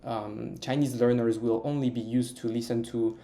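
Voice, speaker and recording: A young Frenchman with a French accent answers interview questions in a hesitant, filler-heavy way. His voice is gravelly and mellow, sitting at a middle pitch for a man. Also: steady tone, normal speed. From France. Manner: hesitant, many fillers and restarts. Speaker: interview guest, Mandarin learner. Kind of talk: casual interview chat.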